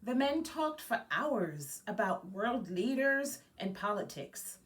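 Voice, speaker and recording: Expressive woman reading a storybook aloud, unhurried. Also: mid-range voice, far from the mic. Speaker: guest storybook reader. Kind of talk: children's picture book read-aloud.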